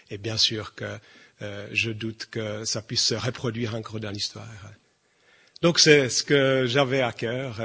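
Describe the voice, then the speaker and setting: A man's voice: mid-range voice, bright, forceful tone, slightly rough. A man thinking aloud as he shares an informal reflection at church. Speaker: church member sharing a reflection.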